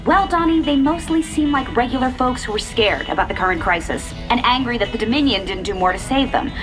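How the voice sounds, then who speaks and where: A woman's voice: fairly high pitch, animated, fairly quick, music in the background, close to an everyday voice, low-quality recording. A woman playing an earnest, candid field news reporter, giving matter-of-fact live reports. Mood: flustered, candid.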